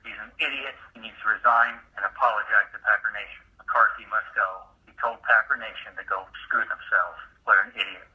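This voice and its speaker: A man's voice: on the low side, steady tone, brisk, few pauses, slightly rough. A man calling in as a fan, leaving a blunt, indignant rant on a phone voicemail. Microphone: telephone. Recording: thin, tinny phone voicemail.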